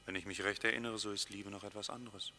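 Urgent, quick-spoken conscientious friend. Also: male voice, fairly low pitch, fairly even intonation, bright, forceful tone, slight background noise. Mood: urgent, sad. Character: earnest, reproachful male companion, troubled by conscience. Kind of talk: German radio play acting.

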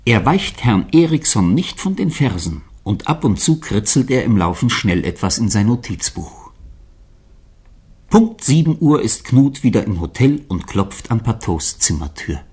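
A man's voice: fairly low pitch, sing-song, a little slow, a little mellow, breathy edge. A man narrating a radio drama as a scripted, dramatic storyteller.

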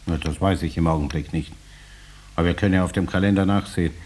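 An actor playing a stubborn, put-upon farmer with dry cheek and a husky voice in a 1950s radio drama. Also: male voice, deep voice, fairly even intonation, fast, a little mellow, a little hiss or hum, close to an everyday voice. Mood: dryly cheeky.

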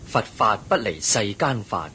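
Against a clear rumbling noise, a wise Buddha figure in a radio drama, speaking very slowly with a Hong Kong Cantonese accent. His teaching is patient and calm, yet the intonation rises and falls widely. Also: exaggerated voice. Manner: measured, instructive, explaining patiently. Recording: noisy recording with low rumble.

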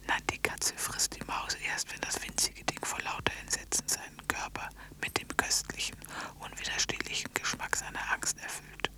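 An actor playing a storyteller in a radio drama, narrating an eerie, intimate tale in hushed, deliberate phrases. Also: whispered, natural voice. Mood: hushed, intense.

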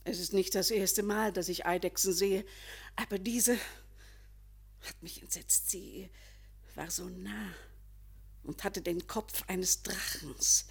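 An actress playing a weary, fearful, brooding lady in a poetic radio play, with a dramatic, halting delivery. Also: mid-range voice, steady tone, exaggerated voice. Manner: dramatic, halting, drifting into breathy hush. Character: weary, fearful, brooding lady sensing sudden old age.

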